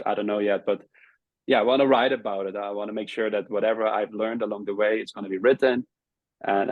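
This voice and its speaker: Low-voiced Dutch-accented man. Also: dry recording, rough recording. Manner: hesitant, repeats words while searching for phrasing. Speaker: guest, travel video creator. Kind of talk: casual interview talk.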